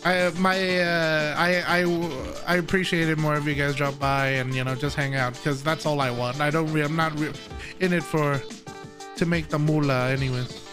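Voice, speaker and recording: A playful man with a fairly high voice talks off the cuff on a gaming livestream. He jokes and banters with his viewers in a casual way while game music plays clearly behind him. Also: level tone, moderate pace. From Vietnamese. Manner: playful, joking, laughs often. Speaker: game streamer.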